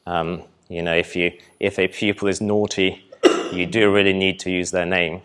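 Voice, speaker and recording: Young guy from poland presenting in a hall, low voice, lots of ums. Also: moderate pace, clear, resonant tone. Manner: relaxed, hesitant, many ums, light laughs. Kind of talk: conference talk with audience Q&A. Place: festival hall.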